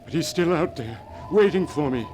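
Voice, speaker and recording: An actor playing a fearful, haunted middle-aged uncle in a melodramatic 1940s radio play. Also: male voice, on the high side, animated, moderate pace, a little mellow, natural voice. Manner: grave, melodramatic. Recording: old radio recording, clear noise.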